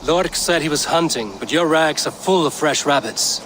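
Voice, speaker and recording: An actor playing a commanding, stern Viking raider in a video game, with a measured, authoritative manner. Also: male voice, fairly high pitch, fairly bright tone, a little hiss or hum, lightly acted. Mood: firm.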